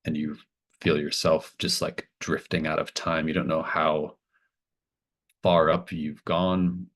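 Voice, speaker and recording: A man narrating a tabletop horror game as game master, improvising in casual, halting phrases. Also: extremely low pitch, flat delivery, a bit bright, slight background noise.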